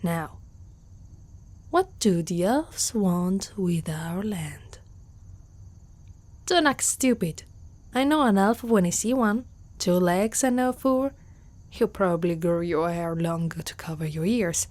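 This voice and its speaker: A woman from Italy playing a wary, proud young centaur warrior with a protective side in an ASMR roleplay, haughty and teasing with soft breathy murmurs. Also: fairly low pitch, expressive, slow, long pauses. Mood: suspicious, haughty.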